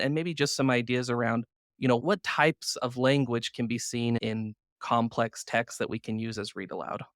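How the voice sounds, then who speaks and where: A man's voice: mid-range voice, fairly bright tone, dry recording, clean studio sound. A man hosting an educational podcast, asking questions conversationally in an earnest manner, thinking aloud as he goes. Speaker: podcast host, former classroom teacher.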